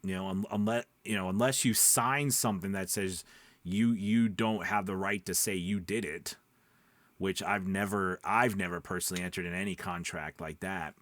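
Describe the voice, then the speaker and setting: Male coach, lowish voice, dry close sound, conversational and emphatic advice delivery with frequent check-in questions. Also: steady tone, moderate pace, a bit bright, no room sound. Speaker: voice-over coach and channel host. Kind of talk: casual advice talk to camera.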